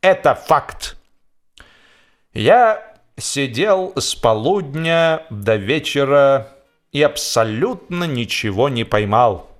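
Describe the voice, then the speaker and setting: A man's voice: mid-range voice, lively intonation, slow. A man playing a smug, respectable veteran angler in a comic radio play, self-important and feigning reluctance as he repeats himself. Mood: earnest boasting.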